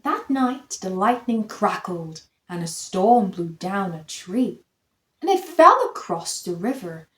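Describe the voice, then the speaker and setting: A woman reading a children's picture book aloud in an expressive, theatrical storytelling manner. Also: medium pitch, slow pace. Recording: roomy echo.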